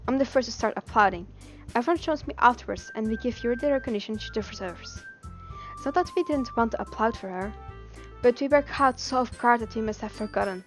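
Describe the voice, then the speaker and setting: Her voice sits fairly high, over music. She is a woman from Belgium, a native Dutch speaker with that accent in her English. She streams live, chatting and reading game text aloud, expressive and giggly, and trips over her words now and then. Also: brisk.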